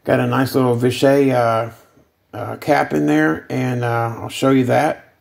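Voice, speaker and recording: A man with a noticeably creaky, gravelly voice talks through a hands-on demonstration. He is casual and plainspoken, explaining technical details haltingly, like a hobby builder showing his work. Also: medium pitch, fairly even intonation. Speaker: guitar amp builder demonstrating a modification.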